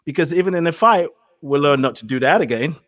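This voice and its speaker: A man's voice: fairly high pitch, expressive, a bit bright, no echo. Thoughtful man in dry conversation. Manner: measured, thoughtful, laughs often. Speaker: spiritual guest speaker. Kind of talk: reflective spiritual conversation.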